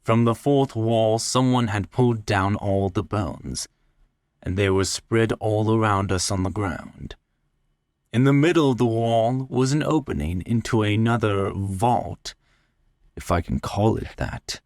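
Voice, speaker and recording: A man narrates a story with dramatic, measured delivery. His voice is fairly low and very slow, recorded dry and close with no room sound. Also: darkish tone, dry recording. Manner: deliberate, measured. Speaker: story reader.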